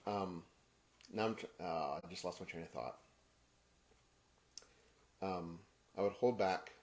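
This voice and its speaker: Halting, reflective man with a low voice. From Kenya. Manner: halting, restarts phrases, soft trailing endings. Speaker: podcast host. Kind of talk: personal reflective monologue.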